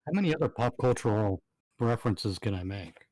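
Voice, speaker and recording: A man's voice: lowish voice, animated, fairly quick, darkish tone, no echo, poor audio. A man chatting casually on a livestream panel, tossing out joking quips. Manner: joking, quipping with pop culture references. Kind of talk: casual chat.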